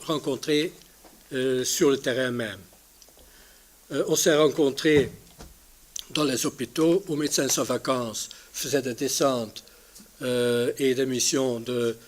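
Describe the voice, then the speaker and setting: A man, a native Dutch speaker with a Dutch accent, giving a conference presentation in a halting way, searching for his words. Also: medium pitch. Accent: Dutch-speaking, non-native French. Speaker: conference speaker from a healthcare organisation.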